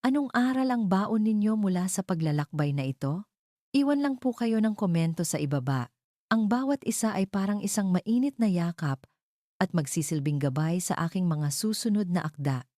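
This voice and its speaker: A woman's voice: medium pitch, expressive, moderate pace, mellow, no room sound, studio quality. A woman storyteller reading a scripted romance narration in an earnest, sentimental and formal manner. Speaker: storyteller and channel host.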